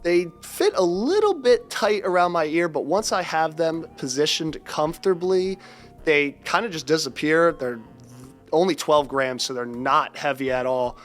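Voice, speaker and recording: A young man with a congested, stuffy voice, briskly presenting an upbeat scripted audio product review. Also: on the high side, lively intonation, soft background music.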